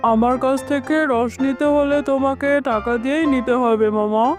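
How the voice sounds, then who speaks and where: A woman's voice: high-pitched, fairly even intonation, moderate pace, a little mellow, faint music behind, natural voice. A woman voicing a plain-spoken male fox farmer who sells juice in a cartoon. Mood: pleading, firm. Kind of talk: scripted cartoon dialogue.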